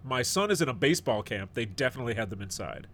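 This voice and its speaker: A man's voice: mid-range voice, big pitch swings, fast, fairly bright tone. A middle-aged man hosting a podcast in casual chat, a bit stuffed up and sniffly, rambling and joking. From United States. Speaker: podcast host.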